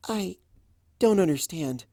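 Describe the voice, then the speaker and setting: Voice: mid-range voice, expressive, slow pace, no echo, clean studio sound, pitched above the performer's own voice. A performer voicing a shy, sweet young female pony with a hesitant, stammering way of speaking, in a story reading. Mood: confused. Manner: hesitant, stammering, soft-spoken.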